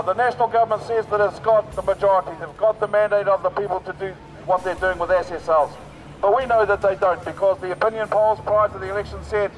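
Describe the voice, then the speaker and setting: A hoarse man speaking at an outdoor protest rally through a megaphone, rousing and repetitive. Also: high-pitched, low-quality recording. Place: outdoors on a city street.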